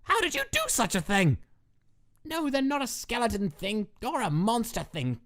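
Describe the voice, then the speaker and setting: A woman's voice: on the high side, sing-song, moderate pace. A woman playing a naive, boastful male skeleton monster in a comic dub, with a pompous, childlike and emphatic delivery. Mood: flustered, indignant. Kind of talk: scripted comic dub acting.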